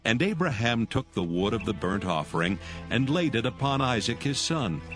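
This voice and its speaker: A man's voice: medium pitch, sing-song, normal speed, fairly bright tone, faint music behind. A man narrating scripture as a scripted reading.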